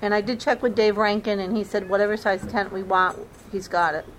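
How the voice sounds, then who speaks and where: A woman's voice: medium pitch, steady tone, a little fast, slight background noise, poor audio. A woman who serves as club secretary, helpfully supplying dates and details at a meeting. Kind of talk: meeting discussion. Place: meeting room.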